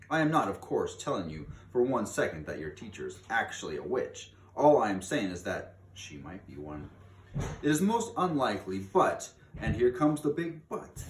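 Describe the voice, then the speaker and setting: A man reads a children's story aloud with theatrical emphasis and very lively, swooping intonation. He leans on key words for dramatic effect. The recording is distant and echoey, with faint noise behind him. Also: lowish voice. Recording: distant mic, echoey room, background noise.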